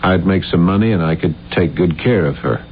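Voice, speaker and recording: An actor playing an earnest, halting, guilt-ridden failed playwright in a 1970s radio drama. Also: male voice, sits low, lightly acted. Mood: wistful. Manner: halting, intense, stammering when flustered. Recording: dull, noisy old radio recording with hum.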